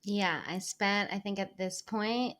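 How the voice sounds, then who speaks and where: A woman's voice: mid-range voice, unhurried, fairly bright tone. A woman in her late twenties from Israel and New York, a podcast guest talking in an easygoing way and laughing often. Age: late 20s. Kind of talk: casual interview chat.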